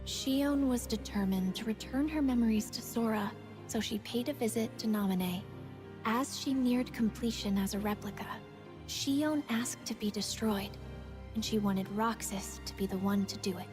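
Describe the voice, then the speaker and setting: A woman with a fairly high voice narrates a scripted game story recap. Her delivery is measured and solemn, and music plays clearly behind her.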